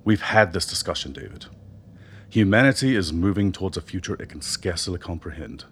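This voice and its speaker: An actor in a radio drama playing an older doctor, a persuasive political mentor who speaks in measured, eloquent phrases. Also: male voice, low-pitched, lively intonation, a bit bright. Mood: earnest, coaxing. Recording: noticeable background noise.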